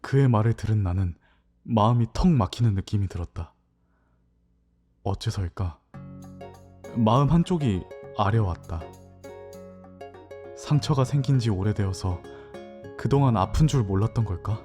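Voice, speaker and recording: Man playing a mellow, introspective newcomer. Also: lowish voice, lively intonation, normal speed, long pauses, faint music behind, close to an everyday voice. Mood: reflective. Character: hesitant, introspective newcomer narrating a library visit. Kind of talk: audio drama narration and dialogue.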